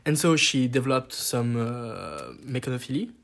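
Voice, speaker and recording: A man's voice: medium pitch, big pitch swings, normal speed, creaky, crowd noise. A twenty-year-old man with a French accent chatting casually and hesitantly as a podcast guest, sometimes slipping into French. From Paris, France. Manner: hesitant, slips into French mid-sentence. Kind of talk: casual chat.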